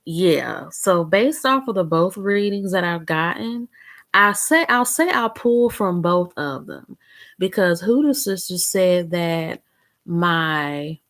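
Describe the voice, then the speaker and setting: A woman's voice: fairly low pitch, lively intonation, slow pace, fairly bright tone. A young woman vlogger chatting casually to the camera in a rambling, conversational way. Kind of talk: casual chat.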